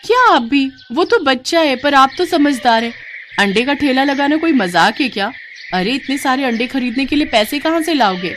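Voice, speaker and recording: A woman voicing an outspoken, practical village wife and mother with a brisk, homely manner in a cartoon. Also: high voice, lively intonation, a bit bright, slight background noise, voice pushed up. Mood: scolding.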